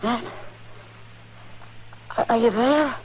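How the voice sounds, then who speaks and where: A woman's voice: fairly high pitch, takes it slowly, darkish tone. An actress playing a plucky frontier woman in a 1950s western radio drama, speaking in a natural, intimate way. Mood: weak, frightened. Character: warm, plucky frontier woman, marshal's close friend. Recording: dull old radio recording, faint noise.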